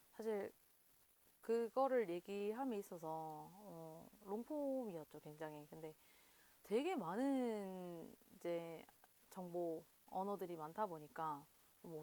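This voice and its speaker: Woman host, regional Korean dialect, lowish voice, loose rambling delivery. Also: gravelly, darkish tone. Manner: rambling, many fillers, laughs often. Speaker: video host. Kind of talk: casual talk to camera.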